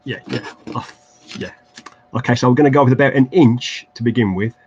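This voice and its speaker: A man's voice: mid-range voice, brisk. A man with an English Midlands accent chats casually through a craft livestream at his desk, rambling, self-deprecating and full of restarts. The audio is a little dull, with a faint hiss underneath. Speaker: livestream host building tabletop terrain. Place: home craft desk, indoors.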